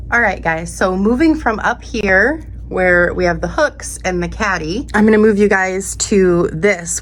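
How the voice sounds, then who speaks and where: A woman's voice: fairly low pitch, slight background noise. A young woman talking casually to her viewers, a little stuffy and out of breath from a cold, upbeat and chatty. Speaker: vlogger. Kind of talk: casual show-and-tell vlog.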